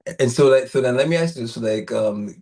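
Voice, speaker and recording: A man hosting an interview, asking questions in a loose, halting way with many restarts and fillers. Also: medium pitch, a little mellow. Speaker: interview host.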